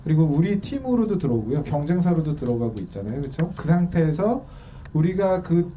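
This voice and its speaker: A man asks polite, measured interview questions in a very dark, mellow voice. The mic sits far from him, so he sounds distant, with a faint noise bed behind him. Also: on the high side, very animated.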